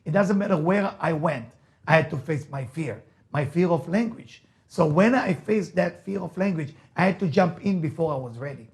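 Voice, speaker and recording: A man's voice: a little high, moderate pace. A man with an Israeli accent, a native Hebrew speaker, giving an emphatic motivational lecture, repeating key phrases and asking rhetorical questions. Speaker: rabbi, motivational speaker and teacher.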